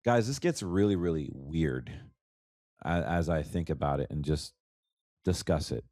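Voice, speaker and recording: A man's voice: a bass voice, lively intonation, unhurried, mellow, no echo, studio quality. An American man with a stuffy, congested voice, hosting a conversational podcast as a financial advisor and repeating words for emphasis. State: congested from allergies. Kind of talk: solo podcast commentary, conversational.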